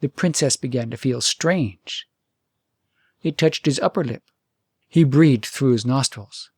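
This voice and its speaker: Guy narrating a fairytale audiobook, husky mid-pitched voice, really dry close sound. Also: a little slow, darkish tone, dry recording. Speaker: audiobook storyteller.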